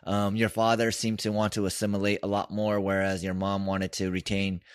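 A man's voice: lowish voice, completely flat delivery, normal speed, clear, resonant tone, no echo, clean studio sound. A man from San Francisco hosting a casual interview, asking curious, conversational questions. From San Francisco, United States.

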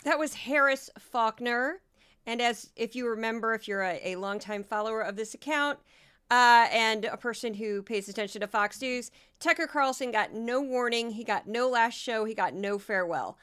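A woman's voice: a little high, moderate pace, a bit bright, clean tone. A woman hosting a news commentary podcast, talking sardonically and directly to her listeners while she is losing her voice. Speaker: podcast host.

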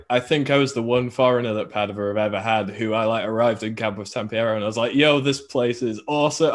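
A man co-hosting a podcast, chatting casually in a slangy, laddish way. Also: mid-range voice. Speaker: podcast co-host. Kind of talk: casual chat.

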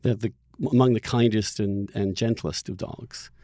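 A man's voice: on the low side, steady tone, normal speed, warm tone, dry recording, clean studio sound. A man hosting a podcast, chatting casually and animatedly in a conversational interview. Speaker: podcast host.

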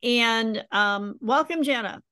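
A woman's voice: a little high, slow pace, pushed and bright, clean tone. A woman hosting a podcast interview and coaching writers, friendly and enthusiastic. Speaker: podcast host and writing coach. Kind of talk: interview conversation.

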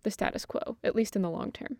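A woman's voice: mid-range voice, fast talker, darkish tone, no echo, studio quality. An American woman co-hosting a thoughtful conversational discussion, somewhat tentative as she feels her way to the right phrasing. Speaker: podcast co-host.

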